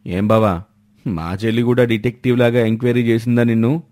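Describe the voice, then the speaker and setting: A man's voice: medium pitch, fairly even intonation, a little fast, darkish tone, lightly acted. A man voicing an easygoing, scheming husband in a family storybook tale. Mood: sly, chummy. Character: sly card-loving husband, easygoing schemer.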